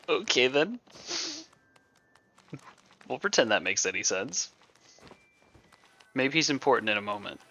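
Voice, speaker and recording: A man's voice: medium pitch, big pitch swings, takes it slowly, long pauses, bright, forceful tone. A man livestreaming casual game commentary in a sarcastic, joking manner.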